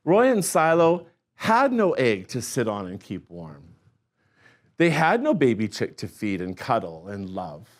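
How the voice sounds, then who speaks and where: Slow, lively-voiced storytime reader, a man. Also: mid-range voice. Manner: animated and expressive, with gasps and playful asides. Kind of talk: reading a picture book aloud to children.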